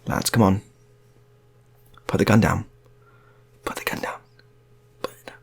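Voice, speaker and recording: A man voicing an earnest, patient police hostage negotiator in an improvised story, coaxing and repeating himself haltingly. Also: on the low side, steady tone, creaky, whispered.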